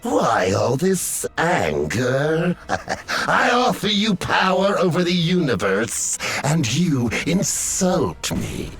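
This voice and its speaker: A megalomaniac game villain, speaks very slowly and grandly. His fairly high voice swings wildly as he mocks and gloats in theatrical style. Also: very animated, fairly bright tone, husky, faint music behind, full character voice. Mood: menacing, grandiose. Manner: grandiose, drawling, mocking. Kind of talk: video game villain acting.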